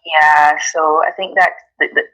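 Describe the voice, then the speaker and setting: A woman's voice: low voice, flat delivery, unhurried, pushed and bright, poor audio. A woman from Jamaica with a Jamaican accent, a guest chatting casually in an interview, talking easily with many ums and you-knows.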